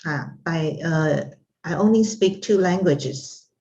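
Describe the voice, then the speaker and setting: A middle-aged woman from Taiwan whose first language is Mandarin, speaking as a guest in an interview with frequent fillers and pauses. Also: sits low, mellow, lo-fi sound. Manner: hesitant, many pauses and fillers. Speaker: interview guest, art instructor. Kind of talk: casual interview conversation.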